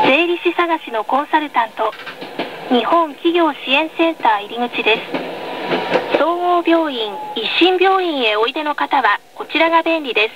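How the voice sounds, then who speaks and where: A woman's voice: high-pitched, lo-fi sound. A woman reading scripted tram announcements in a polite, carefully enunciated manner. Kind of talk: scripted recorded onboard announcement. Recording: noisy field recording of tram speaker. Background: tram running noise.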